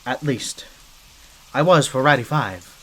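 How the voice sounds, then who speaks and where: A man's voice: medium pitch, expressive, a little slow, bright, forceful tone, breathy edge, heavily acted, low-quality recording. A man voicing a scrappy, wary mafia henchman rat who talks in chatty gangster slang, in an ASMR roleplay. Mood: grim, uneasy. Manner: streetwise gangster slang, chatty, hesitant asides.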